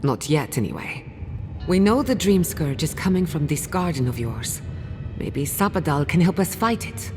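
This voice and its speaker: Game role: wry, analytical female scholar, low register, hall reverb, light music bed. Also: sing-song, moderate pace, darkish tone. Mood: thoughtful, resolute. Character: woman scholar of spirit science, inquisitive, wry. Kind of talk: video game voice acting.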